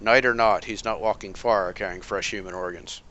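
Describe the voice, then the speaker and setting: A man playing a calm, dry vigilante detective. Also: lowish voice, dull audio, a little hiss or hum. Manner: measured, analytical, dry. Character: calm brilliant masked vigilante detective, wry. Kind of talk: amateur motion comic voice acting.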